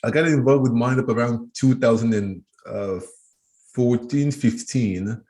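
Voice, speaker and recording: A man's voice: mid-range voice, unhurried, dark tone. A man in his thirties from Cameroon, answering interview questions thoughtfully, often restarting and repeating words as he thinks. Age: 30s. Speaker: guest, education trainer. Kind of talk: interview conversation.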